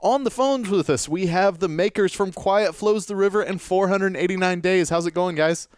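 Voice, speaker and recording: A man's voice: sits high, normal speed, clean tone, dry recording, studio quality. A man hosting a live radio show, upbeat and enthusiastic, with frequent filler words. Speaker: radio host.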